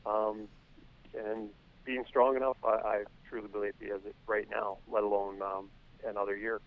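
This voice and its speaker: A man speaking as a hockey coach in a phone interview, answering in a hesitant, measured way with many pauses. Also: on the low side, level tone, phone-quality sound. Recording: phone interview with music underneath.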